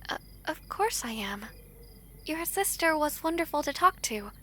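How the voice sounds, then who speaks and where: Woman playing a high-voiced, gentle young princess. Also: very animated, heavily acted. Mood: warm, grateful. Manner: soft, formal, measured diction with tender pauses. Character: gentle, earnest young foreign princess, ill and devoted. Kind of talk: romantic fantasy audio drama.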